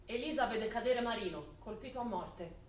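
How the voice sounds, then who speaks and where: A woman's voice: fairly high pitch, lively intonation, moderate pace. A woman narrating a scripted war drama as a stage actor, telling the events dramatically. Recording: echoey distant stage recording, faint noise.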